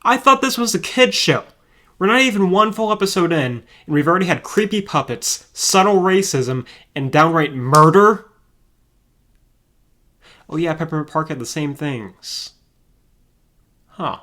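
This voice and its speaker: A man's voice: on the high side, lively intonation, a little slow, long pauses, sharp tone. A man reading scripted commentary as a video reviewer, with a wry, sarcastic manner. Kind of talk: scripted commentary over show clips.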